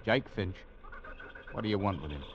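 A man's voice: sits low, moderate pace, close to an everyday voice. An actor playing an island trader with folksy salesman patter in a vintage radio drama. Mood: cagey. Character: chatty island trader, turning cagey, adult man. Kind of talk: 1940s-50s radio drama acting. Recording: muffled, noisy vintage radio recording.

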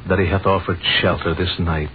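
An actor playing a solemn, reverent old prophet with archaic biblical speech in 1930s radio style. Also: male voice, deep voice, fairly even intonation, fairly quick, close to an everyday voice. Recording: noisy muffled 1930s radio recording.

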